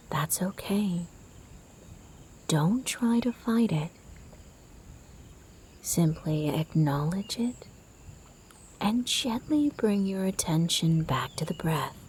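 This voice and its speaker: Female meditation guide: mellow, half-whispered and breathy, gentle, soothing delivery at an unhurried pace. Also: mid-range voice, a little hiss or hum. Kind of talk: guided sleep meditation narration.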